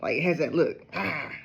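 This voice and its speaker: Man, gardening vlogger, high-pitched, chatty and rambling, tired. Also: unhurried, gravelly. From Ohio, USA. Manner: chatty and rambling, often breaks into excited exclamations. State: low on energy, tired. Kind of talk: casual chat to camera.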